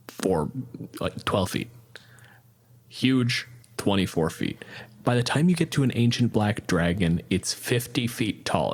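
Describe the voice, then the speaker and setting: A man co-hosting a podcast, explaining tabletop game rules in a blunt, profane, casual way. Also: lowish voice. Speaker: podcast co-host.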